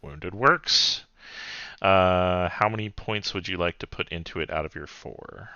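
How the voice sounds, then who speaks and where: A man's voice: sits low, measured pace, bright, forceful tone, no room sound, muffled recording. A man improvising casual narration and rulings as the game master of a tabletop roleplaying game.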